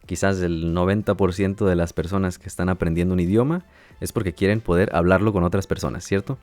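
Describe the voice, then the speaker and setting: A man hosting a language-learning podcast, speaking calmly and patiently like a teacher who checks in often with his listeners. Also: low-pitched. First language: Spanish. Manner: calm, measured, with teacherly check-ins and light laughs. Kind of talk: educational podcast monologue.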